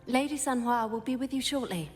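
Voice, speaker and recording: A woman's voice: a little high, fairly quick, faint music behind, natural voice. A woman playing a polite, formal female attendant in a video game. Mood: courteous. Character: courteous female city hall attendant. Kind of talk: scripted video game dialogue.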